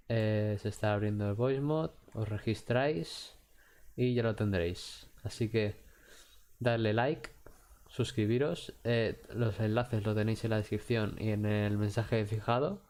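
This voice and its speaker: A man with an accent from Spain giving a casual, unscripted software tutorial in a hesitant, halting way. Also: lowish voice. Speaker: tutorial host.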